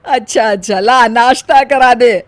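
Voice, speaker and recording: A woman's voice: a little high, unhurried, dry recording, close to an everyday voice. A woman voicing an older mother-in-law in a story, easily exasperated, exclaiming and nagging with emphasis. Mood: amused.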